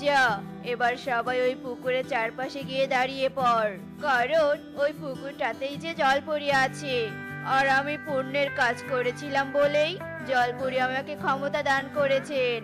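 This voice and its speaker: Lady doing a sneaky old fake guru guy, bossy and smug, kinda flat delivery, music underneath. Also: normal speed, natural voice. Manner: commanding, condescending, booming laugh. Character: sly greedy old male holy man, smug fraud.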